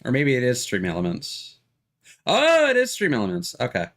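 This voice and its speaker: A man's voice: medium pitch, huge pitch swings, normal speed, gravelly, a bit bright. A man chatting casually as he streams a game, often hesitating and trailing off mid-thought. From Canada. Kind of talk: casual livestream chat with game text read aloud.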